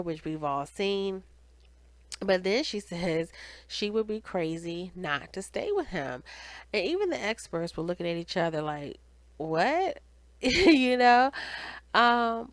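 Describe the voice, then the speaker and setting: A woman's voice: medium pitch, no echo. A woman with a nasal, congested voice giving a chatty, casual TV recap straight to her viewers. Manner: chatty, conversational, addressing viewers directly. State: congested with cold or allergies. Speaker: video reviewer and channel host.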